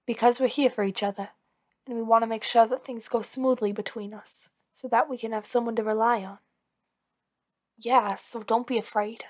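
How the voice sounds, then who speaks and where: A woman's voice: fairly high pitch, fairly even intonation, normal speed, natural voice. A woman playing an anxious, possessive villain lover in an intimate ASMR roleplay, speaking in an earnest, pleading, insistent way. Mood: gentle, concerned. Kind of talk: ASMR roleplay acting, one-sided dialogue.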